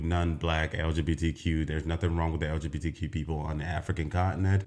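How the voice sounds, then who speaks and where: A man's voice: extremely low pitch, a little mellow. A young man from Nigeria hosting his podcast, talking earnestly and emphatically with frequent restarts. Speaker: podcast host. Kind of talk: casual podcast monologue.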